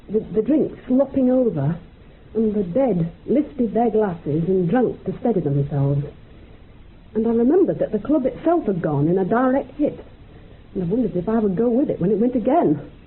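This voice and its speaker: A woman telling a ghostly wartime memory in a radio drama. Her voice sits high and swings freely as she rambles colloquially. The recording is muffled and narrow, with some hiss. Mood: haunted, uneasy. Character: woman recounting a dream of wartime dead in a club.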